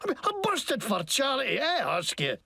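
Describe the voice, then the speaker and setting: An actor playing a crude, scheming wasteland mechanic who fakes a bad Scottish accent and rants with profane, jumpy indignation in a video game. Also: male voice, extremely high pitch, huge pitch swings, moderate pace, vocal fry, sharp tone, slightly rough, no echo, heavily acted. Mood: indignant. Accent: put-on, badly faked Scottish. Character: crude scheming wasteland grease-monkey man faking Scottish. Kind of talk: video game character acting.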